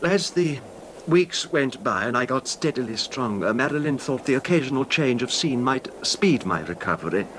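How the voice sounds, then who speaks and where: An actor playing a fragile, nervous, genteel husband with a hesitant, mannered delivery in a radio drama. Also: male voice, mid-range voice, expressive, moderate pace, close to an everyday voice. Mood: brooding, genteel. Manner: hesitant, stammering, mannered, formal. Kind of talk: old time radio drama acting. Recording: noisy old radio drama recording.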